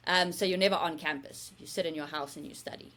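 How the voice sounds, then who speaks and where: A woman's voice: low-pitched, steady tone, quick pace, a bit bright. Candid South African woman telling her story. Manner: candid, self-deprecating, chatty with fillers. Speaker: marine scientist guest. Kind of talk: casual interview storytelling.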